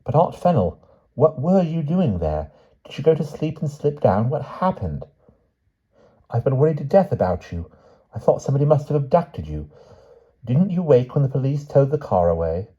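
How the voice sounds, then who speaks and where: A man's voice: medium pitch, big pitch swings, normal speed, mellow, husky, pitched above the performer's own voice. A man voicing an anxious, caring niece in an audiobook story, questioning in an urgent manner. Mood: astonished, worried. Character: anxious, caring, inquisitive niece of a frail aunt. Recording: dull, muffled audio.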